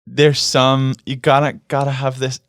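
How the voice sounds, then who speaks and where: A man from Canada talks casually as an interview guest, rambling and leaning on fillers, laughing easily between thoughts. His voice is smooth and resonant, recorded dry and close in a studio. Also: medium pitch, monotone, measured pace, no echo. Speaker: guest musician. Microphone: studio microphone.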